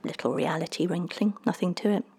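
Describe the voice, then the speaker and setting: A woman voicing a mysterious little man, teasing, brisk and breezily insistent, for an audiobook story. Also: on the low side, creaky, darkish tone, slight background noise. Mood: brisk, breezy. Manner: teasing, brisk, matter-of-fact.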